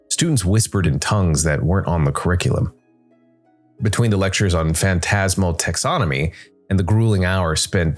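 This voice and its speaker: A man narrating a scripted dark fantasy story in a measured, deliberate way. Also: sits low. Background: music.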